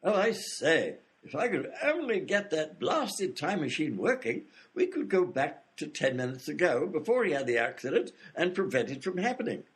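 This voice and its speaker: A man plays a proper gentleman inventor in a radio comedy. The voice is high and very varied in pitch, with a fussy, exasperated manner. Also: big pitch swings, normal speed, few pauses, breathy edge.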